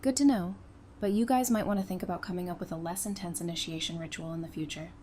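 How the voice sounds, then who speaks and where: An actress playing a brave, loyal, plain-spoken survivor in an audio drama. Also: female voice, fairly low pitch, steady tone, quick pace, a little mellow, lightly acted. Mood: wry, unfazed. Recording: clear background noise.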